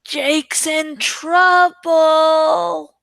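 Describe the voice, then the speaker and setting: Woman playing a bright, mocking teenage wisecracker boy. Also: high voice, little pitch movement, extremely slow, creaky, dry recording, pitched above the performer's own voice, full character voice. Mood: mock ominous.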